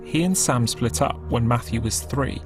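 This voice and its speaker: Male doc narrator, measured and even, over clear music. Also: medium pitch. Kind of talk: scripted documentary narration.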